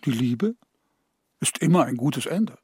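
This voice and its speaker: An actor playing a small, assured, touchy advice-giver in a children's radio play, speaking in terse statements. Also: male voice, mid-range voice, animated, measured pace, a little mellow, husky, no echo, studio quality.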